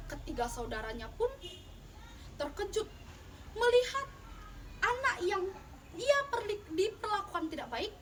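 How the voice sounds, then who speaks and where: A woman's voice: very high voice, very animated, a little slow, pauses often, a bit bright. A young woman telling a folktale in a theatrical, emphatic storytelling style, as if in a contest. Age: young adult. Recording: distant mic, clearly noisy background.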